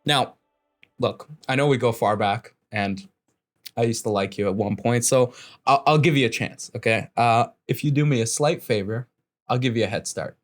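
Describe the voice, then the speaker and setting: A man's voice: mid-range voice, moderate pace, faint music behind, lightly acted. Man playing a smug, casual bounty hunter. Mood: smug bargaining. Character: sly, smug male bounty hunter, an old acquaintance bargaining.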